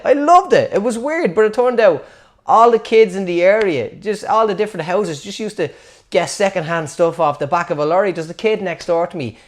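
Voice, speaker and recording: A man from Dublin with a Dublin Irish accent, telling a funny childhood story in a wry, rambling, self-mocking way. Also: fairly high pitch. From Dublin, Ireland. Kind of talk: casual comic storytelling.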